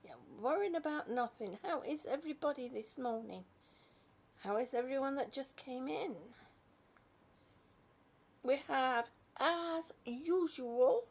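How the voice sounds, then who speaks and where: A British woman hosting a casual live chat from her bed, greeting viewers warmly as honey and sweetie and sometimes dropping to a soft whisper. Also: sits high, creaky, slightly rough. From British, born in Singapore. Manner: slow, soft, trailing off into whispers. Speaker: livestream host chatting with viewers. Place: at home in bed.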